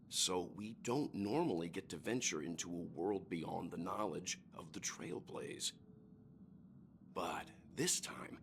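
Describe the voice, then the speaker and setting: A man voicing a seasoned, adventurous gentleman explorer in a game, speaking in a measured, avuncular way. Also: low voice, vocal fry, a little hiss or hum, full character voice. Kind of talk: game voice acting.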